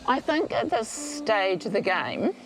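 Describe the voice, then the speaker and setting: A woman's voice: medium pitch, sing-song, unhurried, music in the background, poor audio. A woman, a reality show contestant speaking candidly and reflectively in a confessional interview. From born in Austria, raised in New Zealand. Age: adult with children.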